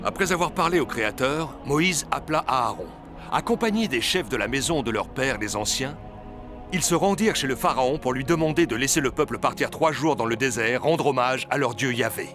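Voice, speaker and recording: Man, scripted story narration, medium pitch, music clearly behind. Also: lively intonation, a little fast.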